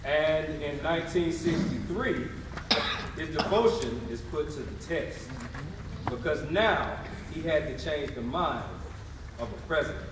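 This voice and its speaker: A man on stage playing an earnest history narrator, with measured, dramatic phrasing in a scripted readers theatre style. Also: a little high, expressive. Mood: dramatic, building. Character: male narrator telling civil rights history, earnest and dramatic. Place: live stage performance in a hall. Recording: very echoey hall, distant mic, background noise.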